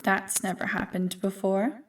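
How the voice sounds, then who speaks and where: A woman voicing an ancient, evil primordial being in an ASMR roleplay, smug and tempting, with a deliberate, taunting delivery. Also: mid-range voice, fairly even intonation, recorded from a distance, close to an everyday voice. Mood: indifferent, smug. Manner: slow, deliberate, taunting, coolly amused.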